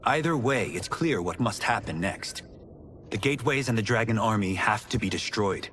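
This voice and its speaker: An actor playing a calm, decisive leader in a video game cutscene. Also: male voice, lowish voice, normal speed, slight background noise. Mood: resolute.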